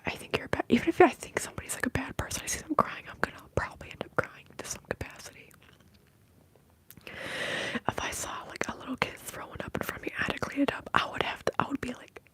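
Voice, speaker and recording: A woman hosting an ASMR live stream, whispering softly as she rambles and greets viewers in the chat. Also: high voice, flat delivery, quick pace, low-quality recording. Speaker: ASMR livestream host.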